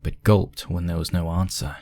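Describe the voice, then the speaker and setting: A man's voice: sits low, fairly even intonation, moderate pace, darkish tone, no room sound, studio quality. A man reading scripted narration for a spooky fan fiction story.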